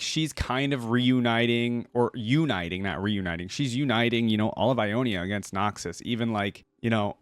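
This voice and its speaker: A man's voice: fairly low pitch, no echo. A man giving casual, enthusiastic reaction commentary in an informal, slangy way, as a video host. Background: music from the videos being watched.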